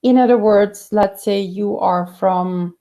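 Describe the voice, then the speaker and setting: A woman's voice: mid-range voice, slow, mellow. A woman career coach answering viewer questions in a conversational way, with many fillers and restarts. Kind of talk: live Q&A career advice.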